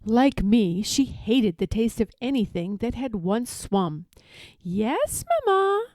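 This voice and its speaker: A woman reading a children's picture book aloud as an expressive, deliberate storyteller. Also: medium pitch, no room sound.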